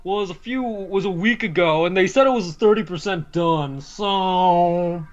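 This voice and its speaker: A man's voice: high voice, unhurried, dull audio. A man hosting a co-op let's play, chatting casually and rambling through self-corrections about the game. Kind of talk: casual co-op gameplay chat.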